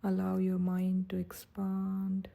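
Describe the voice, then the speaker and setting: A woman guiding a meditation in a soft, breathy, soothing and gentle voice, speaking without hurry. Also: medium pitch, monotone, takes it slowly. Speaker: meditation guide. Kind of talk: guided meditation narration.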